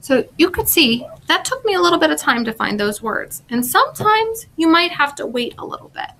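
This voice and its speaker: A woman's voice: high-pitched, big pitch swings, brisk, creaky, sharp tone. A woman speech language pathologist explaining in simple, instructional words to young listeners, as in a picture book read-aloud.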